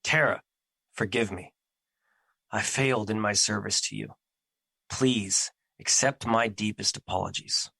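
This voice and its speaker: A personified emotion in an audio drama, acted by a man, with a lowish, bright voice. He is humble and full of self-doubt, speaking in formal, solemn phrases. The recording is close and dry. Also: unhurried, creaky, long pauses, breathy edge, no room sound, exaggerated voice. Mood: remorseful. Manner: formal, solemn, earnest diction. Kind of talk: theatrical audio drama acting.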